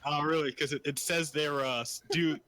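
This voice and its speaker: Bright-voiced joking man, dry close mic. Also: a little high, fairly even intonation, moderate pace, dry recording. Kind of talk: casual chat over voice call.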